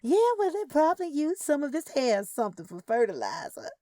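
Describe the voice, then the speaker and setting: A woman's voice: sits high, huge pitch swings, brisk, warm tone, no echo, studio quality, pitched above the performer's own voice. A woman voicing a gossipy young Southern mother, drawling and conspiratorial, in a story. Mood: amused.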